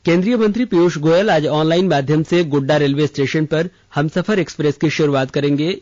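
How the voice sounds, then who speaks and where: A man reading a scripted regional news bulletin in a formal, measured way. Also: a little high, a little mellow, no echo. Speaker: radio news reader. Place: radio studio. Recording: slightly dull, band-limited radio audio.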